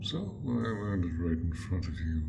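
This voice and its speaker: A man's voice: sits low, big pitch swings, a little slow, gravelly, heavy background noise, full character voice. A man voicing a courteous, awkward male dragon, proud but smitten, with formal old-fashioned phrasing in a scripted roleplay addressed to the listener. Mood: intrigued, admiring.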